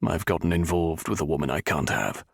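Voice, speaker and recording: A man voicing a heartsick young gentleman who speaks in a low, bitter murmur. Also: expressive, a little fast, creaky, breathy edge, no echo, studio quality, exaggerated voice.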